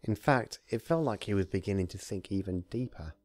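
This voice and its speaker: Mellow, low-pitched man reading stories. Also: expressive, brisk, no echo, studio quality. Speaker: amateur fan-fiction reader and narrator. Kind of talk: story narration and informal commentary.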